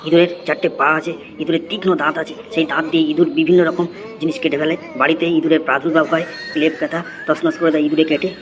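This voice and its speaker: A man's voice: a little high, level tone, rapid-fire, noisy background, natural voice. A man voicing an eager schoolboy in a comedy cartoon, reciting essays by rote. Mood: earnest, reciting.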